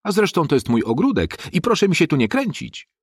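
A man voicing a fierce, protective mother who shouts down a crowd in a children's story. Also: medium pitch, very animated, normal speed, creaky, breathy edge, no echo, studio quality, pitched above the performer's own voice, heavily acted. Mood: scolding. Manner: scolding, shouting loudly. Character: fierce, protective mother, middle-aged woman.

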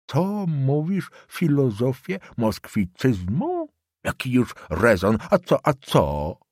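Man voicing a cranky old official, shrill, drawn-out shouting, husky, dry sound. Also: huge pitch swings, no room sound, heavily acted. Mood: scornful. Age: older. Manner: shrill, slow, shouting tirades. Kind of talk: audiobook character voice.

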